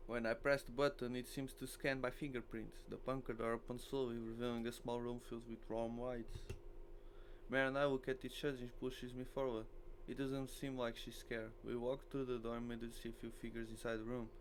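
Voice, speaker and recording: A man's voice: mid-range voice, level tone, a little fast, rough recording. A man who natively speaks Portuguese, reading a visual novel aloud as a game streamer, with faint noise behind him.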